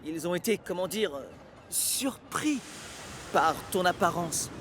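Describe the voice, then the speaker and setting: Casting: careful, tactful male train engine for kids' animation, highish, slow, hesitant. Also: lively intonation, noisy background. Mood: awkward, reassuring. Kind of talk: French-language children's cartoon dub.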